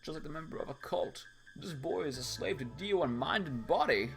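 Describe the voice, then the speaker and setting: A man's voice: fairly high pitch, animated, brisk, gravelly, music in the background. A young man reading narration for a comedic fan dub, breaking off into jokes and ad-libs between lines. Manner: jokey, self-interrupting, riffs between lines.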